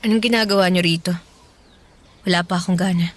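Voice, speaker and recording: An actress playing a bitter, grieving young Filipina older sister in a radio drama, sarcastic and confrontational. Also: female voice, on the low side, fairly even intonation, a little fast, close to an everyday voice. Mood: cold, withdrawn.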